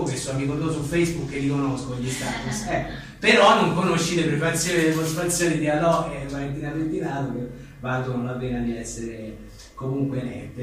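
Male author interviewee, slightly high voice, informal and digressive, recorded at a distance in a very reverberant room. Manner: animated, rambling, acting out imagined exchanges. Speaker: journalist and author being interviewed. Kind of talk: informal book presentation interview. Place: echoey indoor venue at a live event. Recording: very echoey room, distant mic, faint noise.

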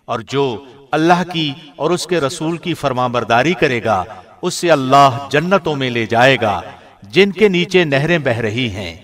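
A man reading a scripture translation in a measured, formal broadcast manner. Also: mid-range voice, a bit bright. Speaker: translation reader, radio host.

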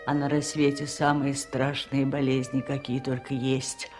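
An older actress playing a wise, lonely old village woman, kind and grave, unhurried and plain-spoken, in a 1970s Soviet radio drama. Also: rumbling low, level tone, a little mellow, soft background music. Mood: soothing.

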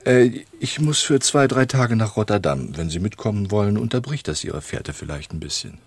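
Gravelly, shrewd male fixer. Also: on the low side, sing-song, normal speed, darkish tone, no room sound, full character voice. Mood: businesslike. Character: shrewd, courteous male underworld fixer in Brussels. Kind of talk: German radio drama dialogue.

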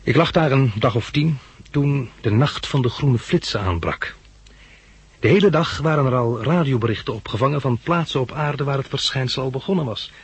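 Husky, wry male radio-play narrator. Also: mid-range voice, normal speed, no echo. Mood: reflective narration. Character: bandaged male hospital patient and narrator, wry, sardonic. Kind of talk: 1960s radio drama, narration and dialogue.

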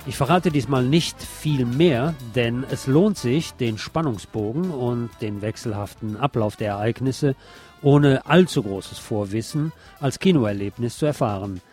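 A man's voice: mid-range voice, unhurried, darkish tone, faint music behind. A man delivering a scripted film review as a critic recommending a movie to listeners.

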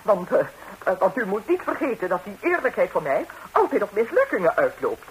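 An actress plays an impulsive busybody in a 1960s radio comedy. She is impertinent and theatrical, and her mid-pitched voice leaps and dives extravagantly with every exclamation. The old recording carries a faint hiss. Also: sing-song, fairly quick, close to an everyday voice, poor audio. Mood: offended. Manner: exclamatory, indignant, dramatically swooping delivery.

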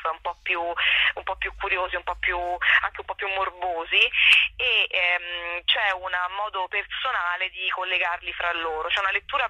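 A woman being interviewed over the telephone, speaking haltingly as she searches for her words. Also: fairly low pitch, slightly rough, dry recording. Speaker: theatre co-author and director, interview guest. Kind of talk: spontaneous phone interview. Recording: dry, sharp telephone line.

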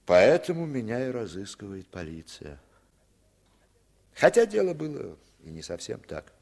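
A man's voice: on the low side, sing-song, measured pace, vocal fry, a little mellow, full character voice. An actor playing a weary former German legionnaire who tells his story reflectively and bitterly in a 1950s radio drama.

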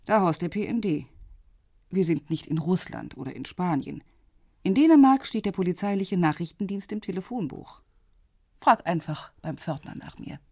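A composed intelligence officer in a radio crime drama. Her voice is lowish and dark, with a husky grain. She is direct and businesslike, with a teasing edge. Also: expressive, moderate pace, no echo, clean studio sound. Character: cool, businesslike female police intelligence officer, subtly flirtatious. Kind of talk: naturalistic radio drama dialogue.

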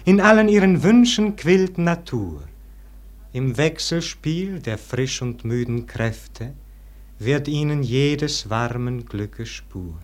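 A man's voice: medium pitch, expressive. An actor playing a melancholy, yearning nobleman in a classic radio verse drama, declaiming lyrically in standard German stage diction. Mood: fervent longing. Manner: solemn, lyrical, drawn-out declamation.

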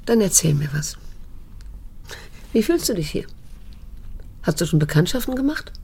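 An actress playing a wary, wounded daughter of about sixty in a radio drama, speaking in a measured, restrained way. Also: female voice, sing-song, warm tone, exaggerated voice. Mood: probing. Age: 60s. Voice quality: husky, low, creaky. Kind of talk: German radio drama dialogue and first-person narration.

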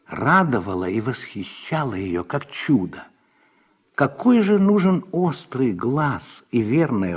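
A man narrating a literary radio drama in a measured, expressive storytelling manner. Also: on the high side. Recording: husky-sounding old radio recording with music.

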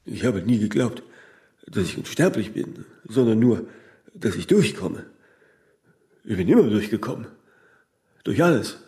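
An actor playing a famous older workaholic in a radio drama, vain and stubborn, given to philosophical pronouncements that end in little tag questions. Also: male voice, lowish voice, animated, unhurried, long pauses, a little mellow. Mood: weary, defiant. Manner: rambling pronouncements, frequent tag "ne?".

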